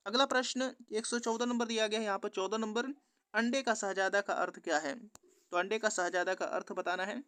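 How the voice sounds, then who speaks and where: A man's voice: high-pitched, a bit bright, clear, resonant tone, dry recording. Male exam teacher with a dry sound. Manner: repetitive, instructional. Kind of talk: quiz lesson, reading out questions and answers.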